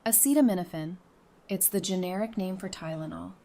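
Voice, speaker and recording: A woman's voice: fairly low pitch, fairly quick, mellow, close to an everyday voice. A woman playing a friendly, knowledgeable member of a survivor community in an audio drama, speaking in an easygoing, explanatory way. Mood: informative.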